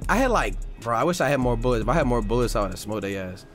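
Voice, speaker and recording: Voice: medium pitch, big pitch swings, fast. A brash, trash-talking gamer roleplaying a street gangster in profane slang. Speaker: video game streamer.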